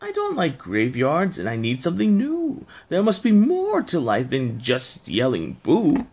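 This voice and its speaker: A man's voice: fairly high pitch, wildly swooping pitch, measured pace, darkish tone, breathy edge, pitched above the performer's own voice, full character voice. A man voicing a restless, theatrical skeleton in a storybook poem, with dramatic, emphatic delivery. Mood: fed up. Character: tall thin male skeleton, restless, bored, theatrical dreamer.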